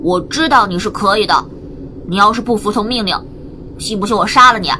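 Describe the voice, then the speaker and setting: A woman's voice: medium pitch, brisk, close to an everyday voice. A woman voicing a petulant, demanding little boy in a science fiction radio drama. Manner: demanding, defiant. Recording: faint background noise, vehicle ambience.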